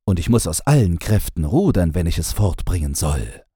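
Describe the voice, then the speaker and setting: A man's voice: low-pitched, very animated, moderate pace, mellow, slightly rough, no room sound, clean studio sound, exaggerated voice. A man voicing a puzzled, straining young prince in a fairy-tale audiobook.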